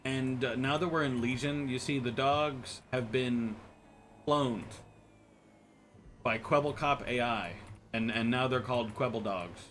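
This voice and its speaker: A man's voice: mid-range voice, a little slow, a bit bright, slight background noise. An American man streaming a game and chatting with viewers, rambling and joking, often restarting his words. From United States. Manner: rambling, joking, frequent stammered restarts and laughs. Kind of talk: casual chat with viewers while gaming.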